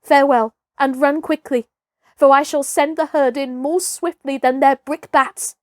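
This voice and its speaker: A woman's voice: sits high, fairly even intonation, unhurried, dry recording, studio quality, voice pushed up. A woman voicing a bold, scornful young herdsboy raised by wolves, with archaic, commanding speech in a storybook reading. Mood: urgent.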